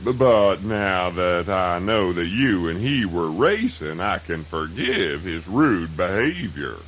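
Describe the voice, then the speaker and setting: A man's voice: low voice, sing-song, slow pace, fairly bright tone, exaggerated voice. A man voicing a sly, easygoing trickster rabbit in a children's radio folk tale, teasing in a folksy Southern US drawl, with old-recording hiss. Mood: casual, teasing.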